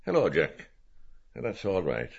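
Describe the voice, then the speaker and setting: Man acting a blustering editor, wildly swooping pitch. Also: huge pitch swings, vocal fry, lo-fi sound, heavily acted. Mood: brisk, confident. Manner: crowing, shouting, drawn-out exclamations. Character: blustering, excitable male newspaper editor. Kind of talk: dramatised literary reading.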